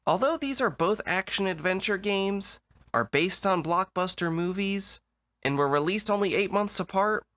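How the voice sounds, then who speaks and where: A man narrates a scripted comedic video essay with dry, sarcastic deadpan. His voice sits a little high and is bright and crisp-edged, with very lively rises and falls over music. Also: slight background noise.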